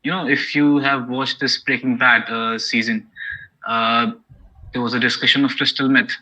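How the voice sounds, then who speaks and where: A man's voice: medium pitch, little pitch movement, normal speed, sharp tone. A man with an Indian English accent giving an academic lecture, explaining step by step with frequent "okay?" and "you know" checks. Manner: explanatory, many okays and you-knows. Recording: dull lo-fi recording.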